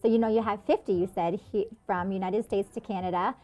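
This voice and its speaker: A woman's voice: mid-range voice, steady tone, a little fast, dark tone. A woman hosts an interview show and keeps the conversation going. She sounds encouraging and affirming, praising her guest and asking about the year ahead.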